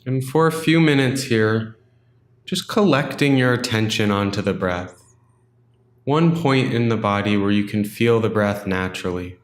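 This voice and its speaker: A man leading a guided meditation in a gentle, unhurried, instructional way, leaving long pauses between phrases. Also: on the low side, echoey room.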